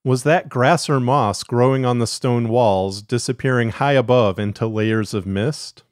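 A man narrates scripted fiction for a podcast. His pitch is average for a man and the recording is dry, with no room sound. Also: unhurried, dry recording.

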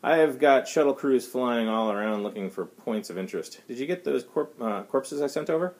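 A man reading aloud the part of a brisk, commanding starship captain with a curt, questioning manner. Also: mid-range voice, expressive, darkish tone, voice pushed up. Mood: brisk, businesslike. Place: small home study, indoors.

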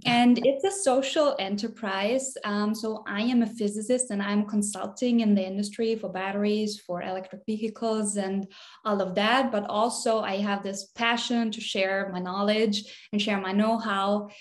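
A young woman from Austria joins a video call as a physicist and consultant. She explains her work earnestly, at a medium pitch. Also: steady tone, moderate pace.

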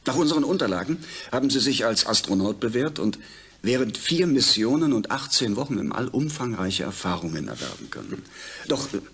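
An actor playing a suave, self-assured space project leader in a German radio drama, formal and measured with emphatic pauses. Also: male voice, mid-range voice, very animated, gravelly, fairly bright tone, slightly rough, heavily acted. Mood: persuasive.

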